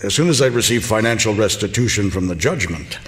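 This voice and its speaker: An actor plays a gruff, evasive husband in a live audio drama. His voice is low and curt, sometimes placating, and carries the reverb of a hall. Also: animated, brisk, lightly acted. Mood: defensive.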